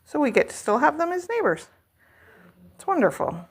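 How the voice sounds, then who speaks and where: A woman's voice: sits high, big pitch swings, moderate pace. A middle-aged woman chatting casually at home as a vlog host, rambling and self-deprecating while she decorates.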